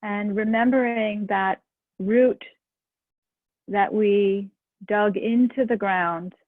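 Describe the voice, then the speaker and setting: Woman meditation guide, unhurried and gentle, dry thin phone-like recording. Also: medium pitch, steady tone, no room sound. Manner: slow, soft and soothing.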